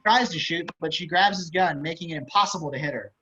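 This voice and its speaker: Male stage-directions reader, slightly high voice, scripted narration, lo-fi online call sound. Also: fast, bright, forceful tone, slightly rough. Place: indoors, on a video call. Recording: dull video-call audio.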